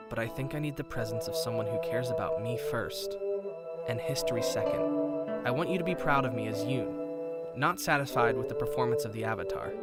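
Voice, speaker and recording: A man's voice: mid-range voice, close to an everyday voice. Man acting as earnest young Avatar, playful, music behind. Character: young man, playful, self-doubting Avatar confiding in a friend. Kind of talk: fan-made visual novel voice acting. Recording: background music throughout.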